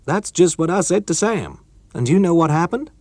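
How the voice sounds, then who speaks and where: A nervous young male technician, urgent and jumpy, his voice pitched well above his usual and swooping with excitement, as a man reads him aloud. He sounds close and dry, with no room around him. His words tumble out anxiously. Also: moderate pace, no echo.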